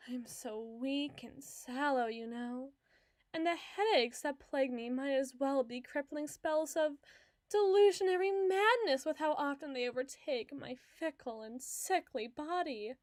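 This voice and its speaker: A high, dry, close female voice of an actress playing an obsessive patient in an audio roleplay. She is theatrical and clingy, flirting coyly and wheedling in long, flowery phrases. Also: unhurried, no room sound. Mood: melodramatic, plaintive. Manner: dramatic, coy, wordy, wheedling. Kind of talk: ASMR roleplay monologue.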